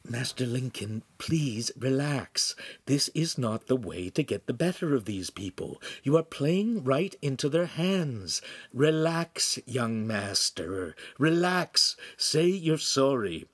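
A man's voice: medium pitch, big pitch swings, slow pace, fairly bright tone, husky, no echo, pitched above the performer's own voice, exaggerated voice. Man voicing a coaxing, insistent secret adviser. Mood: urgent, coaxing. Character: urgent, deferential secret adviser whispering in the ear.

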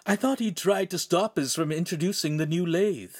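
A man's voice: sits high, moderate pace, darkish tone, slightly rough, no echo, voice pushed up. A man voicing a nervous, quirky shape-shifting imp who explains things earnestly in a storybook reading.

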